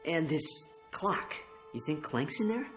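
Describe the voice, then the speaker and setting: A man voicing a cocky, eager young alien hero in a video game cutscene, quick with a wisecrack. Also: on the high side, very animated, a bit bright. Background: game score music.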